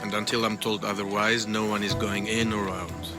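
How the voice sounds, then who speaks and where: An actor playing a gruff, threatening Athenian guard in a video game, curt and menacing. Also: male voice, lowish voice, little pitch movement, moderate pace, music in the background, close to an everyday voice. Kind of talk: video game cutscene acting.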